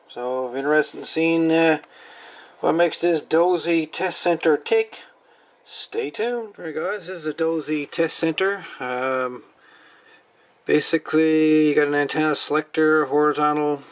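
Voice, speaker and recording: A man hosting a casual hands-on review and repair video, talking in a folksy, colloquial way. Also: on the high side. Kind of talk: casual hands-on demonstration talk. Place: indoor workbench.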